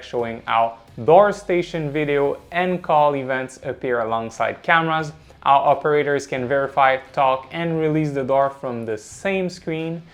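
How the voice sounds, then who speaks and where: Higher-pitched man narrating a corporate explainer. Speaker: presenter of a product explainer. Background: background music.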